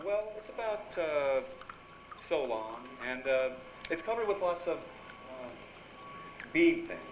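A man's voice: a little high, expressive, slow. An actor playing a wisecracking, hardboiled newspaper reporter in a noir radio drama, with wry tough-guy slang. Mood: faking hesitation. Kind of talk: noir radio drama acting with first-person narration. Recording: dull, noisy live radio-play recording.

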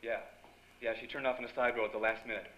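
A man's voice: mid-range voice, level tone, fast talker, natural voice. A man playing an earnest, romantic young reporter hero in an old-time radio drama, with a theatrical, emphatic delivery. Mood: determined. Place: stage before a live audience. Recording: dull, roomy live stage recording, loud noise.

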